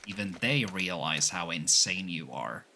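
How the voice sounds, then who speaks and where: Deep, sharp, gritty Yakuza enforcer voiced by a man. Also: expressive, a little slow, slight background noise, heavily acted. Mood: defiant, accusing. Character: stern, loyal Yakuza underling confronting his boss. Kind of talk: audio roleplay drama acting.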